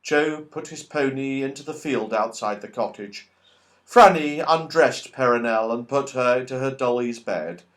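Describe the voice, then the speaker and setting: A man reading a children's story aloud as an audiobook narrator, expressive and animated. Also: mid-range voice, moderate pace. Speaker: audiobook reader.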